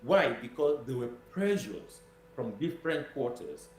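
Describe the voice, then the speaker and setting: A Nigerian man gives political commentary as a guest. His pitch is average and he repeats phrases for emphasis. The recording is roomy and echoey, with a faint noisy hum behind him. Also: sing-song, unhurried. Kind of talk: spoken political commentary in interview. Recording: roomy echo with noise and hum.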